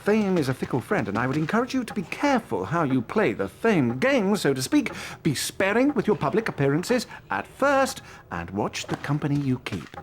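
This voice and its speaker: Pompous showman professor, lively, high-pitched voice. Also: moderate pace, slight background noise. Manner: pompous, theatrical, self-admiring. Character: vain boastful celebrity wizard professor.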